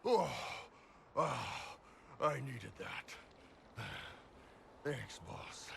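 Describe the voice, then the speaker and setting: Burly giant warrior, gruff, blunt, slow-paced, very animated, video game cutscene. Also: male voice, medium pitch, a little hiss or hum, exaggerated voice. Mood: gruff, casual. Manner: blunt, swearing, forceful.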